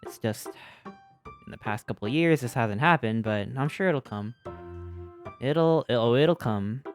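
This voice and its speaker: A streamer in their thirties chatting casually over a video game, profane and slangy, with a self-deprecating, animated way of riffing. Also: mid-range voice, a little slow. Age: 30s. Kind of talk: casual livestream chat over gameplay, reading and answering viewer comments. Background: game music.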